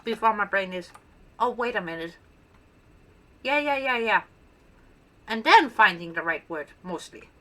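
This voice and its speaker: A woman's voice: medium pitch, lively intonation, unhurried, long pauses, pushed and bright. A woman with a Danish accent, a native Danish speaker, chatting casually and playfully as she shows off her build in gameplay commentary. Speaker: gaming channel host.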